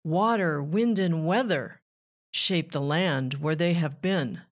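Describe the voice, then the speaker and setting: A woman reading a rhyming children's book aloud with measured, deliberate phrasing. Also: low voice. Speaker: children's author and narrator.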